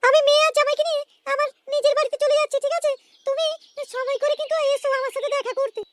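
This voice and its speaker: A woman voicing a scolding middle-aged Bengali mother-in-law in a comedy cartoon, shrill and repetitive. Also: little pitch movement, racing, slight background noise. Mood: brisk refusal.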